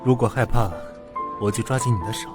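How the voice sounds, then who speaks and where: A man playing a quiet, composed younger man with a forceful undertone in an animation dub. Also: mid-range voice, fairly even intonation, fast talker, close to an everyday voice. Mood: gentle, steady. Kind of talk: restrained animation dub acting. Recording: background music under the voice.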